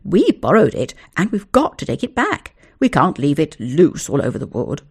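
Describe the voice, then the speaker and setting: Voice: mid-range voice, huge pitch swings, moderate pace, breathy edge, exaggerated voice. A reader doing a righteous aggrieved schoolboy.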